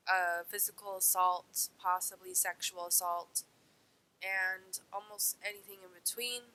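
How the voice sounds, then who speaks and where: A young woman with a nasal, congested voice from a cold and sniffles, telling a true crime story casually and informally to her viewers. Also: bright, forceful tone. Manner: informal, sweary, talks to viewers as friends. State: sick with a cold, sniffling, dry sore throat. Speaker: host of a true crime video series. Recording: poor mic with clear noise and rumble.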